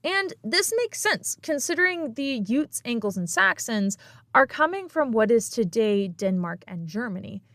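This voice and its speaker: A woman's voice: fairly high pitch, very animated, a little slow, fairly bright tone, no echo. A woman hosting a podcast and giving a scripted history lesson in a playful, emphatic way, with little laughs. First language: English. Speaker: podcast host.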